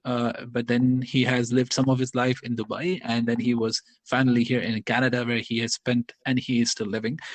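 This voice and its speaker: Male podcast host from the Middle East, mid-pitched, chatty and playful, recorded on a phone. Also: rough recording. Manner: chatty, playful, laughs easily. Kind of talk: casual podcast interview. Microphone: smartphone.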